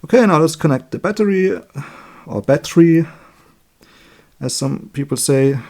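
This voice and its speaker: Male electronics hobbyist, native German with German accent, voice a bit higher than average. Informal demo delivery, halting and talkative while working. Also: warm tone. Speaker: electronics hobbyist demonstrating a circuit build. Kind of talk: informal hands-on tutorial, explaining while working.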